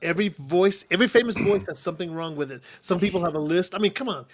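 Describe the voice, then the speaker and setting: A man co-hosting a casual talk show, joking and animated. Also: sits high, fast talker. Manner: joking, animated, breaks into laughter. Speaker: podcast co-host. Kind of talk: casual studio chat.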